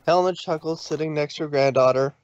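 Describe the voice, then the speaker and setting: A man's voice: a little high, quick pace, clear, resonant tone, dry recording, lo-fi sound. A man narrating a fan fiction story from a script.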